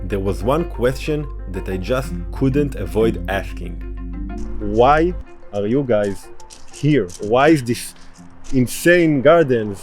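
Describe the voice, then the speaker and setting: A man's voice: mid-range voice, animated, takes it slowly, faint music behind. A man with an Israeli accent, conversational and inquisitive, hosting and narrating a travel vlog. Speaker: host.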